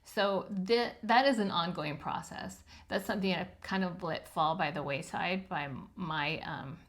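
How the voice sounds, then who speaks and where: A woman over fifty with a high-pitched voice, giving frank, chatty advice on self-publishing with plenty of ums. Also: measured pace, fairly bright tone. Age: 50s or older. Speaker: channel host teaching self-publishing. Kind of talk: casual instructional talk to camera.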